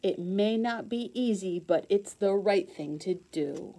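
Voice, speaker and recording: A woman teacher reading a children's storybook aloud in an expressive sing-song way with clear emphasis. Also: medium pitch, measured pace, slight background noise, low-quality recording.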